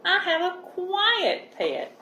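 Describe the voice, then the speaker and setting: A woman's voice: extremely high pitch, huge pitch swings, slow, pushed and bright, reverberant, voice pushed up, heavily acted. A woman voicing a cheerful, eager little male goldfish in a storybook, exclaiming with delight.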